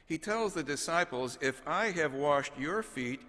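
Male bishop, 60s, measured homily delivery, slow and deliberate, big reverberant cathedral sound. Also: fairly high pitch, expressive, bright, forceful tone. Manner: measured, solemn, deliberate phrasing with pauses. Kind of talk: homily, read from notes. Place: large cathedral, at the pulpit. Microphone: gooseneck pulpit microphone.

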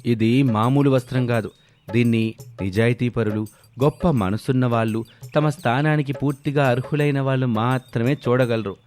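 A man's voice: medium pitch, moderate pace, darkish tone, natural voice. A man playing a boastful, persuasive swindler who poses as a heavenly weaver in a storybook tale. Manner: boastful, persuasive salesman talk. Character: smooth-talking male swindler posing as heavenly weaver. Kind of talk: scripted story dialogue. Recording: background music behind the voice.

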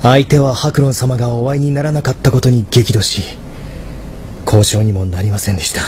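An actor playing a devoted young mafia lieutenant in an audio drama, formal, pleading and reproachful. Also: male voice, mid-range voice, steady tone, fairly quick, background noise, close to an everyday voice. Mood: restrained urgency. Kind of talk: Japanese drama CD acting.

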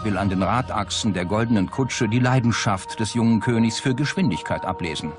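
A man's voice: lowish voice, a little fast, over music. A man reading scripted documentary narration as the narrator of a history programme.

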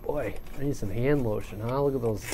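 A man's voice: medium pitch, a little fast, background noise. Folksy middle-aged man at a radio bench. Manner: folksy, rambling, off the cuff. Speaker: CB radio channel host. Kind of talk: casual hands-on tutorial. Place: home radio workbench, indoors. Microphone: desk mic off camera.

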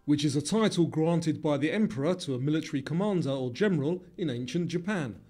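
A man delivering scripted quiz narration, reading out questions and answers with clear enunciation. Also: on the high side, animated, brisk, mellow. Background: music.

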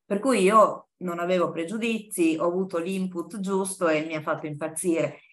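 An older woman shares her experience informally and with enthusiasm. Her voice is on the low side, and she speaks close to the microphone in a classroom. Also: steady tone, moderate pace. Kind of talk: informal personal account in a seminar. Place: classroom, indoors.

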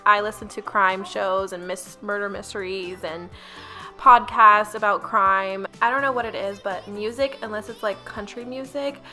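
A woman's voice: medium pitch, fairly even intonation, moderate pace, bright, forceful tone, faint music behind. A young woman talking casually over her cleaning footage, chatty and upbeat, speaking straight to her viewers. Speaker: lifestyle vlogger.

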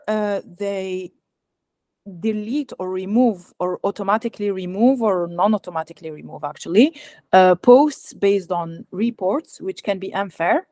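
A young woman whose first language is Algerian Arabic gives an academic research talk over a video call, pausing often with fillers and restarts. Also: medium pitch, a little mellow, no echo, clean studio sound. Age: young adult. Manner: hesitant, many fillers and restarts. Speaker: guest speaker presenting research. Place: home room, indoors. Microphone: video call webcam.

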